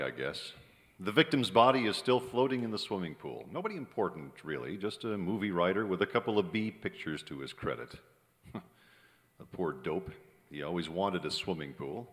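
A man's voice: low voice, big pitch swings, moderate pace, a bit bright. A middle-aged actor playing a sardonic, down-on-his-luck Hollywood screenwriter in a noir radio drama. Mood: wry, resigned. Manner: sardonic, dry. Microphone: stand microphone on stage. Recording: echoey theater stage, music behind.

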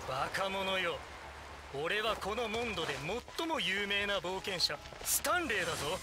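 A man's voice: high voice, sing-song, moderate pace, background noise. An actor playing a boastful middle-aged male adventurer in a video game, speaking in a pompous, theatrical way. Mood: indignant boasting. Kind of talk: Japanese video game voice acting.

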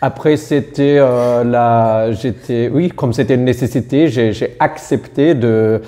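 A man in his thirties with a German accent speaks in a mellow, darker tone at an ordinary pitch. He searches for words, filling gaps with "euh" and restarting his sentences. The setting is a relaxed seated interview in a small room. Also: a little slow. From Austria. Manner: hesitant, many fillers and restarts, searching for words. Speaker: doctor, interview guest. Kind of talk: casual interview chat in a learned language. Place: small indoor studio room.

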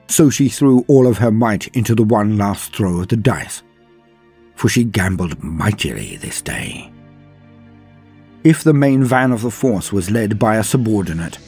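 A man with a very low, slightly rough-edged husky voice narrates a dark story. He speaks gravely and portentously, pausing deliberately for dramatic weight. Music plays faintly underneath.

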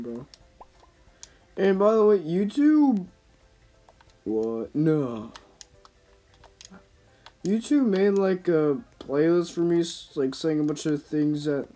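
Guy streamer chatting casually over a game, slightly high voice, slangy, faint music behind. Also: dark tone. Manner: slangy, informal, talking to himself and viewers. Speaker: gaming YouTuber. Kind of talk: casual gameplay commentary, rambling chat.

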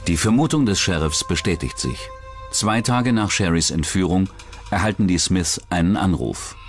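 Deep-voiced male true-crime narrator. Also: expressive, moderate pace. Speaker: documentary narrator. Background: background music.

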